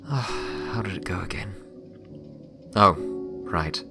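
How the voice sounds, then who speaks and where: A man voicing a caring, wry male pony loner with an awkward, halting, earnest way of talking in an audio drama. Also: lowish voice, expressive. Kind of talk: audio drama character dialogue. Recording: loud music bed under voice. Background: loud music.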